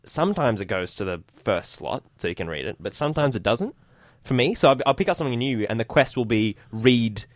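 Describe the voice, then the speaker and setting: Fast-talking blunt man in podcast banter. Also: mid-range voice, lively intonation, no echo. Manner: blunt, sweary, quick banter. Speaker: podcast co-host. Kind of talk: casual gaming chat.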